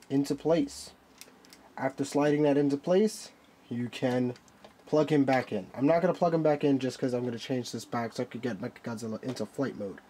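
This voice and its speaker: Brief: man, mid-pitched, nasal and stuffy from a cold, chatty toy-review host walking through a figure. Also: warm tone, a little hiss or hum. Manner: chatty, explains while handling a figure.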